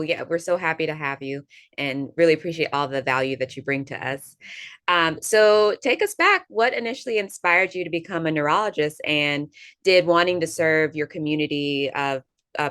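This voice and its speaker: A woman's voice: low-pitched, normal speed, fairly bright tone, clear, resonant tone, no room sound, clean studio sound. A woman hosting an interview in a friendly, prepared style, with an upbeat, encouraging manner. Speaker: interviewer, nonprofit communications director.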